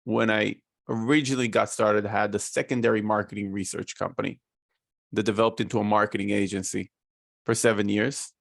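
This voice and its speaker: A man in his forties from Israel with an Israeli accent, a guest on a video call explaining his business in a measured way with fillers. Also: on the low side, little pitch movement, vocal fry, dry recording, studio quality. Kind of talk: casual interview talk.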